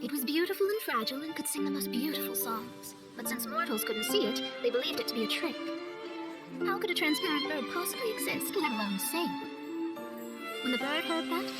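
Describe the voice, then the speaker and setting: A woman voicing an earnest, wistful young astrologer in a video game, speaking in a measured, theatrical way. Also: sits high. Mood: solemn, tender. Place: studio, with music behind.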